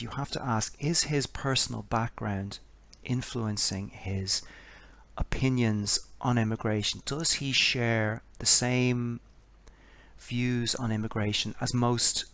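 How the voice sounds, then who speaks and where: A man giving scripted political commentary in a measured, explanatory way, posing a string of rhetorical questions. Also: medium pitch, fairly even intonation, gravelly, a bit bright, dry recording.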